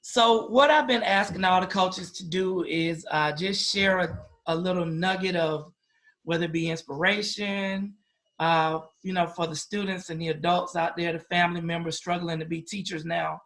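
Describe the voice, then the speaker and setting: High-pitched American male podcast host. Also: steady tone, moderate pace, a bit bright. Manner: jokey, chatty, affirming. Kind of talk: casual interview chat.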